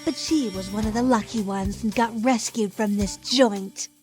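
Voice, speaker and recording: A woman's voice: mid-range voice, moderate pace, darkish tone, faint music behind, natural voice. A woman voicing an agitated, exasperated woman with emphatic, dramatic delivery in an audio drama. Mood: searching. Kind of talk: audio drama demo monologue.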